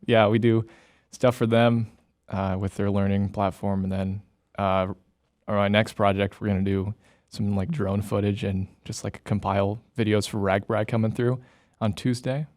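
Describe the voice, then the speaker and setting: A man's voice: on the low side, flat delivery, moderate pace, a little mellow, no room sound. A young man from Iowa, a student videographer, answering interview questions hesitantly with lots of fillers in a podcast studio. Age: young adult.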